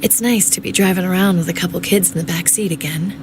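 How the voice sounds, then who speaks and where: A woman acting as a practical mother surviving the apocalypse. Her voice sits low, with a casual, understated delivery suited to a story-driven game, and engine noise is clear behind her. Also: fast, lightly acted. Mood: wistful, confiding. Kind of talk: naturalistic video game drama acting.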